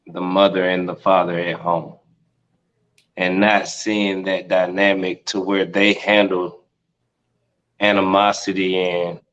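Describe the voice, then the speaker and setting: American guy hosting a panel chat, lowish voice, sound a little muffled. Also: a bit bright. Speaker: host posing questions. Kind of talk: casual panel discussion. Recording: slightly dull, lo-fi sound.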